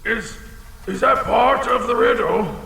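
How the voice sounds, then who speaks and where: A man playing a blustering, insecure, half-blind dragon in a comedic audio drama, swinging between theatrical threats and fussy muttering in a gravelly voice. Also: very high voice, measured pace, pushed and bright, exaggerated voice. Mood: flustered. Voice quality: gravelly, creaky. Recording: cavernous long reverb with faint noise.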